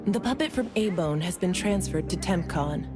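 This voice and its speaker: A woman's voice: lowish voice, animated, moderate pace, close to an everyday voice. An actress playing a composed, courteous woman officer in an anime game, speaking in a formal, businesslike way. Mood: polite, businesslike. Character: polite, composed young woman bureau officer giving briefings. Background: background music.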